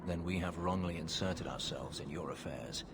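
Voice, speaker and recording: Deep, solemn elven warrior. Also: male voice, normal speed, very noisy. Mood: restrained. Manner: formal, restrained. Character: solemn, reserved, dutiful male elf warrior. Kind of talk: video game acting.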